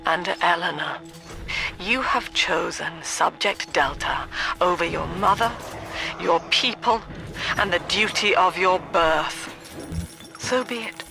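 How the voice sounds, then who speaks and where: Slow-spoken, cold British cult leader. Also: female voice, on the low side, gravelly. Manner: measured, solemn, preachy formal diction. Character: cold, zealous cult-leader mother, controlling and severe. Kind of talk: video game villain monologue.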